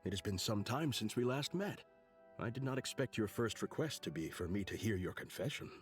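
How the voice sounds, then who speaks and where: Man playing a low-voiced, solemn middle-aged cardinal. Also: expressive, soft background music, lightly acted. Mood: solemn, courteous. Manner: formal, measured, reverent. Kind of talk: video game voice acting.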